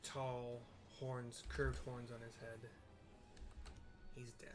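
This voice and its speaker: A man giving casual, wry commentary as he plays a video game, describing the action in halting bits. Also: mid-range voice, little pitch movement. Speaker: video game streamer narrating play. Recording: music behind the voice. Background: game music.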